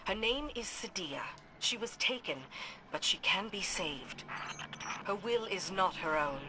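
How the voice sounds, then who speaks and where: Solemn alien guide, noisy backdrop. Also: female voice, mid-range voice, expressive, unhurried, pushed and bright. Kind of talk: video game dramatic dialogue.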